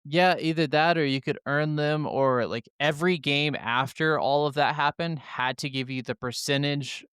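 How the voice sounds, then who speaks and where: A man's voice: fairly high pitch, fairly even intonation, moderate pace, few pauses, fairly bright tone, clean tone, no room sound, studio quality. A man co-hosting a gaming podcast, chatting casually and irreverently, with frequent swearing. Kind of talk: casual opinionated podcast chat.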